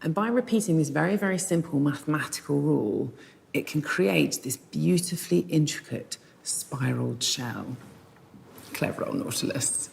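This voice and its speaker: A woman presenting a documentary, narrating and explaining in a lively, lightly self-deprecating way. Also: sits low, vocal fry. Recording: music bed under the voice.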